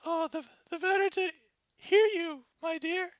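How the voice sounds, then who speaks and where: A squeaky wolf granny. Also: male voice, steady tone, unhurried, a bit bright, dry recording. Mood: wheedling. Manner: high falsetto old-lady voice, ravenous. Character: wolf disguised as a hungry grandma.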